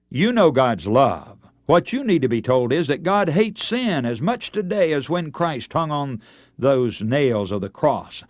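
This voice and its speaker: A man delivers a radio sermon as an orator would, leaning on repeated phrases and deliberate pauses. His mid-range voice rises and falls widely for emphasis. The sound is dry, with very little room around it. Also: medium pitch, dry recording. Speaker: radio preacher and broadcast host.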